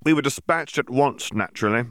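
A man's voice: medium pitch, brisk, fairly bright tone, no echo, clean studio sound, voice pushed up, natural voice. A man voicing a smug, brusque middle-aged Auror chief who speaks with sneering authority.

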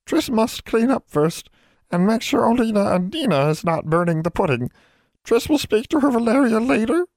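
Brief: man doing a very high, strained old female elf, servile and tearful, in a dry close read. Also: very animated, moderate pace, a little mellow, no echo. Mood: pleading. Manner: sobbing, speaks of herself in third person.

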